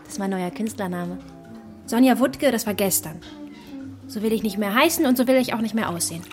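A woman's voice: mid-range voice, a little fast, faint music behind, lightly acted. An actress playing an earnest, determined young bicycle postwoman with big dreams in a radio drama. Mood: earnest, dreamy. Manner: earnest, insistent.

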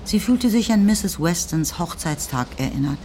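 A woman reading scripted literary narration for a radio play in a measured, composed way. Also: on the low side, expressive, mellow, slight background noise. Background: music.

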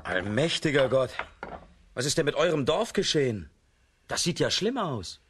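An actor playing a good-natured young peasant wanderer in a children's radio play, with theatrical exclamations. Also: male voice, medium pitch, big pitch swings, normal speed, fairly bright tone, slight background noise. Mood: concerned.

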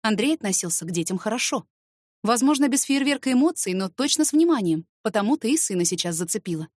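A woman's voice: on the high side, lively intonation, fast, no echo, clean studio sound. A woman reading first-person fiction expressively as an audiobook narrator.

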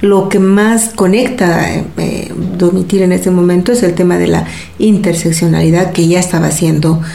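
A woman's voice: lowish voice, little pitch movement, normal speed, gravelly, mellow. A woman giving reflective, analytical interview answers in academic wording, recorded in a roomy space. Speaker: feminist activist and social psychologist being interviewed. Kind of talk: spontaneous analytical interview talk.

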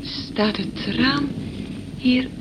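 A woman's voice: high-pitched, drawn-out words. An actress playing a gushing, curious young woman secretary in a Dutch radio play, with an effusive, forward manner. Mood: gushing, earnest. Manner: effusive, gushing, breaks into laughter. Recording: noisy old radio play recording.

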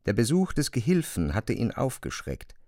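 A man reads literary narration for a radio play. His mid-pitched voice is measured and articulate but moves in lively contours. The sound is very dry. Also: vocal fry, dry recording.

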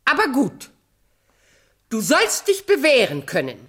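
A woman's voice: high-pitched, wildly swooping pitch, unhurried, a bit bright, breathy edge, heavily acted. A vain, scheming storybook queen, who speaks in a haughty, theatrical way. Her melody rises and dips widely, and she takes her time over her words as in a children's radio play. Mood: angry, imperious. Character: vain, wicked fairy-tale queen, imperious and scheming.

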